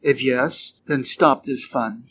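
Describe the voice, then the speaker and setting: An American man from the Midwest reading short fables and quiz questions clearly and deliberately for English learners. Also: mid-range voice, steady tone, a bit bright. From Wisconsin, USA. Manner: clear, deliberate, rising quiz intonation on answer choices. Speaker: English teacher reading stories. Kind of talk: slow scripted storybook reading for learners. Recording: dull lo-fi sound with faint noise.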